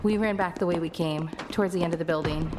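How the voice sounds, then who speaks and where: A woman acting as a tense female survivor tells her story in a lowish voice. She narrates an alien apocalypse audio drama in the first person, with prominent music playing behind her. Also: fast, lightly acted. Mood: urgent, tense.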